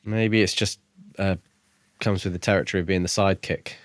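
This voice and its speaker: A man's voice: low voice, steady tone, brisk, no echo. A man chatting casually as a podcast co-host, tentatively thinking aloud about a book. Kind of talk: casual book discussion chat.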